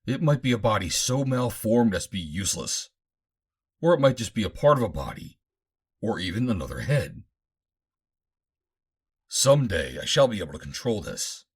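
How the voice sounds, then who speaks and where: Man as a lecturing, husky Martian scientist. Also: lowish voice, sing-song, moderate pace, pauses often, heavily acted. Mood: proud. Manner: lecturing, formal, grandiose. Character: Martian master scientist, proud, calculating creator of artificial bodies.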